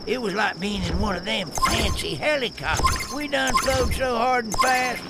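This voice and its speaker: A man's voice: extremely high pitch, animated, normal speed, heavy background noise. A man playing a folksy, boastful cartoon tow truck with a Southern US accent, telling tall tales as video game narration. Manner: folksy, countrified, boastful storytelling. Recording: loud game effects behind the voice.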